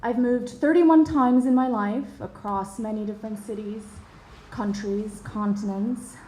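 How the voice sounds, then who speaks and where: A woman in her thirties from Bosnia and Herzegovina tells a personal story, earnest and measured, with soft pauses and hesitations. Her voice is warm and mellow, and it rings a little in an echoey room. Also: on the high side, fairly even intonation. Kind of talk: personal story told to an audience.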